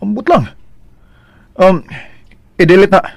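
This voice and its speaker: An actor playing a devoted but firm husband in an expressive, melodramatic radio drama style. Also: male voice, sits high, slow pace, vocal fry, husky, no echo, dull audio, full character voice. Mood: casual, dismissive. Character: devoted husband, affectionate at home, firm with rivals.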